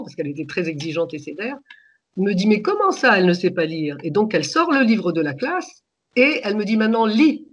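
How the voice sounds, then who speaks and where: Husky-voiced woman, hesitant reminiscing. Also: lowish voice. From Egypt. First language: Arabic. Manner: hesitant, many pauses and restarts. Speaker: guest, author. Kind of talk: reflective personal storytelling.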